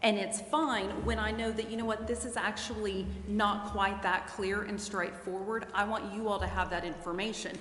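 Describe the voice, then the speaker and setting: A woman giving a careful, deliberate and formal explanation as a legal advisor at a public council meeting. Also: medium pitch, steady tone, fairly bright tone. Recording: echoey hall, clear background noise.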